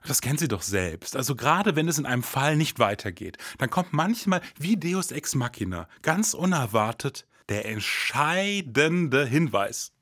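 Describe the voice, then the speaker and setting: A man's voice: mid-range voice, big pitch swings, a bit bright, breathy edge, dry recording. A man playing a pompous detective, close dry sound. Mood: soothing, pompous. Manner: formal, stilted, theatrical, deliberate phrasing. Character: pompous, formal male detective in a parody. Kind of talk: satirical noir detective podcast drama.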